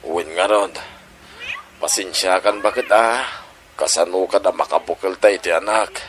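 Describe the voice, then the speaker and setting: Low-voiced, tender Filipino father. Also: moderate pace, a bit bright, a little hiss or hum. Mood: earnest. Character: gentle, affectionate Filipino father and husband. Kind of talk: sentimental Ilocano audio drama acting.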